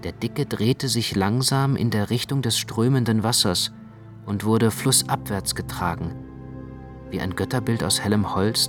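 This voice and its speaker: Man playing a grave tender narrator in a radio play, lowish voice, measured n precise, music behind him. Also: lightly acted. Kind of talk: literary radio drama narration. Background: prominent music.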